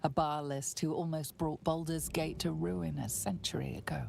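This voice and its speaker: A woman playing the ominous narrator of a dark fantasy video game, telling the story in a hushed scripted style. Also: deep voice, fairly even intonation, normal speed, creaky, slight background noise.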